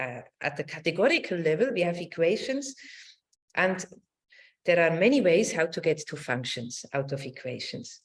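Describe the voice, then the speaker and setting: Low-voiced woman lecturing haltingly. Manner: halting, searching for words, repeats phrases, tag "no?". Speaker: academic lecturer. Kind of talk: academic online talk with shared slides.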